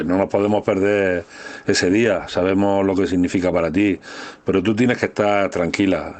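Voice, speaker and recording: A man's voice: on the low side, lightly acted. A man playing an affectionate, reassuring father in a casual voice message.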